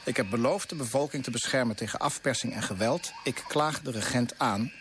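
A resolute colonial official, acted by a man in a radio play, speaks in formal, firm declarations. His pitch sits in the ordinary male middle. There is clear ambient noise behind the voice. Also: fairly quick, a bit bright, close to an everyday voice. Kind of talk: Dutch radio play acting.

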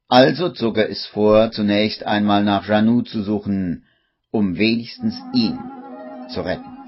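A man narrating a radio play in a measured storytelling manner, on an old 1950s recording. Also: on the low side, husky, highs cut off.

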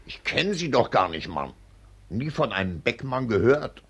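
Brusque, husky army colonel. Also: male voice, mid-range voice, sing-song, unhurried, a bit bright, exaggerated voice. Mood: annoyed, dismissive. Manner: clipped military bluster. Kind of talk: 1940s German radio drama acting.

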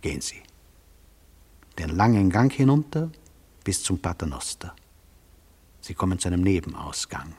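An actor playing a grave, authoritative judge in a classic radio play, solemn and measured, in a reverberant hall. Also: male voice, sits low, big pitch swings, vocal fry, darkish tone, husky, heavily acted. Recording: long courtroom-like reverb.

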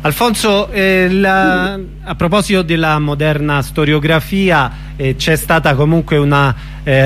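Higher-voiced male radio host, faint hum. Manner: brief, prompting questions with fillers. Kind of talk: radio interview.

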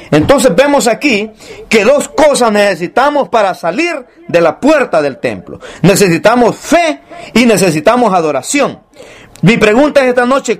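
A man preaching an evangelical sermon, exhorting his listeners directly and putting rhetorical questions to them. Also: high voice.

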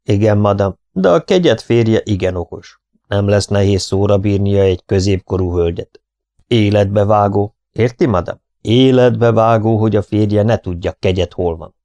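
A man voicing a calm, precise foreign detective, speaking in a measured, soothing way. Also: fairly low pitch, no room sound, close to an everyday voice.

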